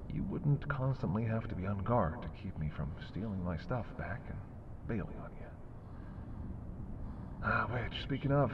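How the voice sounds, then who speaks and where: Male vampire played by a male actor for audio roleplay: lowish voice, wry, predatory, intimate banter, over clear vehicle-like background noise. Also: highs cut off. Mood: wry, musing, then exasperated. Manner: wry, teasing, murmured banter. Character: teasing, sardonic male vampire with a predatory streak.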